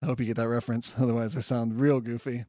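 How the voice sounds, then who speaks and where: A man's voice: medium pitch, level tone, fast talker, mellow, dry recording, studio quality. A man hosting a podcast in a casual, conversational way, with enthusiasm and self-deprecating asides. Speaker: podcast host. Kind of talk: casual comics news commentary.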